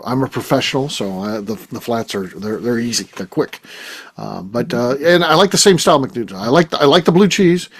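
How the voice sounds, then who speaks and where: A middle-aged man hosting a casual roundtable chat, jokey, with frequent restarts and fillers. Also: medium pitch. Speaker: host.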